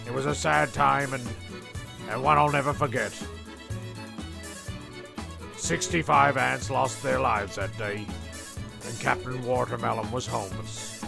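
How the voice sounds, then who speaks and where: A man's voice: medium pitch, fairly even intonation, a little slow, gravelly, pauses often, music in the background. A man playing a rambling, exasperated narrator of a comic castaway radio play. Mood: wistful rambling.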